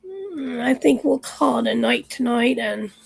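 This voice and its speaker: A woman hosting a livestream, chatting casually and rambling with viewers while her voice is going hoarse. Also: on the high side, lively intonation, a little slow, rough recording. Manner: chatty, rambling, lots of fillers. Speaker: livestream host. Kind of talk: casual chat with live chat viewers.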